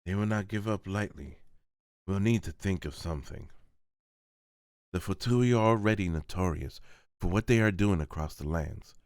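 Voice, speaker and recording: Guy doing a solemn tired old-world official, manga dub, low voice, halting n earnest, dry mic. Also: a little mellow, dry recording. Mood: sorrowful resolve. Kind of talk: dramatic manga voice-over.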